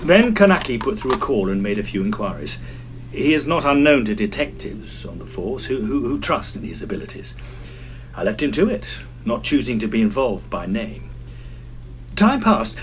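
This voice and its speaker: An actor playing an earnest, excitable Edwardian gentleman ghost hunter who tells his tale in formal, theatrical old-fashioned diction. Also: medium pitch, normal speed, slight background noise, natural voice. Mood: storytelling. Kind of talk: dramatised first-person period storytelling. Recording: violin music behind the voice.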